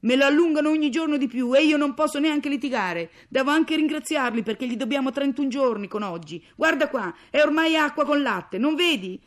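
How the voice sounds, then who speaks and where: A woman's voice: low voice, fairly even intonation, normal speed, dark tone. A woman voicing a poor, harried mother who nags and scolds sarcastically but can turn tender, in a dramatic story reading. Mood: furious nagging. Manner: nagging, sarcastic, exclamatory.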